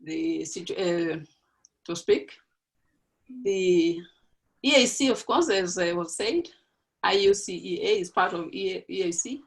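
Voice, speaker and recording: A woman's voice: lowish voice, measured pace, vocal fry, long pauses, poor audio. A woman ending a formal presentation with thanks, stumbling a little over her words. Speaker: presenter finishing a talk.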